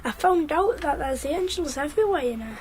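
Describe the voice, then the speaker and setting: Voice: high-pitched, expressive, fairly quick, a little mellow, slight background noise. A performer voicing an earnest, dreamy young boy with a Northern English accent in a radio drama, innocent and insistent. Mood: hopeful. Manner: innocent, insistent, matter-of-fact. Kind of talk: 1990s radio drama acting.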